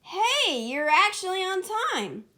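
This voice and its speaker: Woman voicing a high, flustered young hedgehog girl. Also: very animated, slow, bright, forceful tone, full character voice. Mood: teasing. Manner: bashful, easily flustered. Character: shy, excitable young female hedgehog sweetheart.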